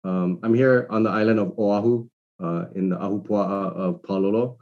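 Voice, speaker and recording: A man, speaking as a guest in a measured, reflective way over a remote call. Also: fairly low pitch, steady tone, creaky, highs cut off. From Hawaii. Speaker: guest entrepreneur. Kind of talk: casual conversation.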